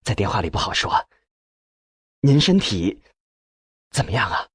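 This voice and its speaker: Guy reading as earnest researcher in an audiobook, polite but pushy, husky lively voice. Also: lowish voice, unhurried, dry recording, clean studio sound, exaggerated voice. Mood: earnest, urgent. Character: earnest male researcher, respectful, urgent.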